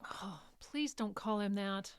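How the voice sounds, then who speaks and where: An actress playing a weary, reluctant young care worker in an audio drama, with hesitant, understated replies. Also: female voice, mid-range voice, slow, smooth, no echo, clean studio sound. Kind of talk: live audio drama acting, naturalistic.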